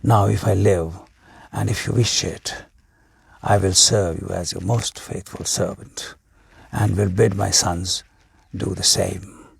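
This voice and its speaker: A man voicing a weak, wounded bearded man in a storybook, a penitent former enemy confessing solemnly in a low, husky voice. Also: fairly even intonation, slow pace, no room sound, exaggerated voice.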